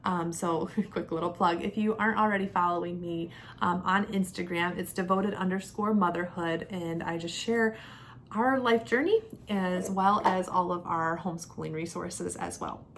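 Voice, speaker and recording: Young woman, low creaky voice fry, chatty vlog review, emphatic key words, noticeable background rumble. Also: moderate pace. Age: young adult. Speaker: homeschooling mother and vlogger. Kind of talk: casual product review talk to camera.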